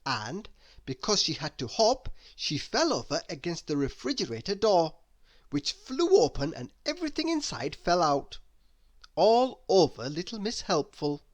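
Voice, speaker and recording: Slow, animated woman reading a storybook. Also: fairly low pitch. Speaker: storybook reader. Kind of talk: expressive children's story narration.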